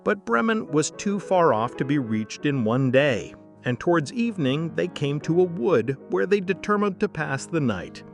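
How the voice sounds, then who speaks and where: Expressive man narrating a bedtime fairy tale. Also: a little high, moderate pace, creaky, faint music behind. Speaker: storyteller.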